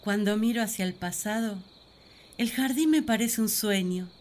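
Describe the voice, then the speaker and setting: A woman plays the first woman in a radio play, devoted and thoughtful. Her voice sits at a medium pitch. She reflects on her feelings gently and earnestly, as in a spoken diary. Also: moderate pace, close to an everyday voice. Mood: wistful, loving. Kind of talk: radio drama diary monologue.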